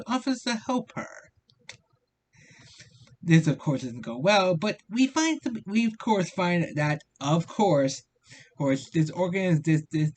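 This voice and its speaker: A man giving a casual review commentary in a halting manner, often repeating words and syllables as he goes. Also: fairly high pitch. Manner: halting, frequent stammered repetitions of words and syllables. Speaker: video reviewer. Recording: noticeable steady background noise.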